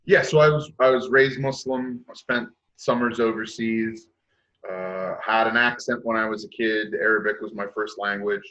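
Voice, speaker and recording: A man's voice: fairly low pitch, steady tone, moderate pace, sharp tone. A man in his late thirties, a podcast guest, speaking frankly and reflectively with many hesitations. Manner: reflective, lots of uh fillers, frank. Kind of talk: casual chat. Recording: dull lo-fi mic.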